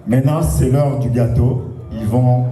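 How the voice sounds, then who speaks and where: A man speaking hesitantly at a birthday party, pausing often. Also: medium pitch, fairly even intonation. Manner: hesitant, many pauses. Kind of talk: halting party speech. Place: large indoor hall at a party. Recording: echoey hall, far off, crowd noise.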